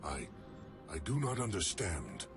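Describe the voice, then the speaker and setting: Proud, troubled alien fighter for a game cutscene: low, grave, reverent, over a loud score. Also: male voice, huge pitch swings, unhurried, gravelly, exaggerated voice. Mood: troubled, solemn. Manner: grave, terse, formal. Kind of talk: video game cutscene dialogue. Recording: loud music under the voice. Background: loud cinematic music.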